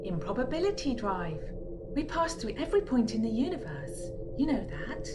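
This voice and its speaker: A woman's voice: on the high side, very animated, normal speed. A woman playing a level-headed, no-nonsense spacefarer in an amateur audio drama, matter-of-fact and patient. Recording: noticeable background noise.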